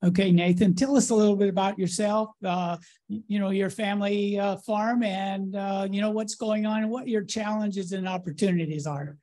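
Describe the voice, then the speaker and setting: A man moderating a conference panel, speaking with many halting restarts and repeated words. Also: high voice, steady tone, mellow.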